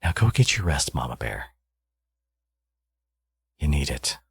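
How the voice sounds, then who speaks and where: Man playing a low, gravelly, teasing villain dad. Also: sing-song, unhurried, no echo, full character voice. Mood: teasing, reassuring. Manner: playful, cocky, murmuring to a lover. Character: smug, teasing male supervillain, doting new father. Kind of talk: intimate ASMR audio roleplay.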